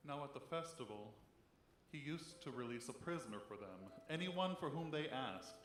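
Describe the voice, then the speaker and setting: A man's voice: medium pitch, animated, normal speed, slight background noise. A man reading scripture aloud as a narrative dramatic Bible reading. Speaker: scripture reader. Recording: echoey church, music behind.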